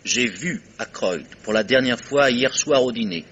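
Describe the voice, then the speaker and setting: A prickly military man in a vintage radio play. The voice is middle in pitch but moves widely, with a hard bright edge and formal, clipped phrasing. A faint noise runs under the recording. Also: animated, measured pace, muffled recording. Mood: stiff, precise. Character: male army major, stiff, curt, prickly. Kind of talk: vintage French radio mystery acting.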